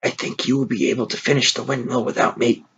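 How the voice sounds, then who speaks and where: A man reading aloud, voicing a tired, loyal old workhorse, soft and resigned. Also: medium pitch, little pitch movement, fast talker, slightly rough, highs cut off. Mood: resigned gentle.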